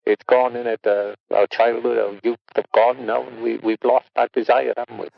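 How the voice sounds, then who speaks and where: Man playing gravelly Welsh phone-in host. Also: mid-range voice, little pitch movement, normal speed, no room sound, highs cut off. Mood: resigned. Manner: downbeat, chummy. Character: weary, resigned radio phone-in host. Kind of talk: comic phone-in chat.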